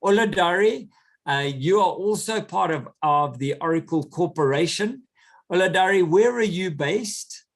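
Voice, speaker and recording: A man hosting a podcast who interviews his guests in an effusive, enthusiastic way with quick asides. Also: high-pitched, lively intonation. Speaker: podcast host.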